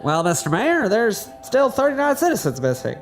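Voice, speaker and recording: An actress playing an earnest, persistent science reporter in an audio drama, questioning insistently. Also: female voice, on the high side, very animated, moderate pace, faint music behind. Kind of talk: scripted audio drama acting.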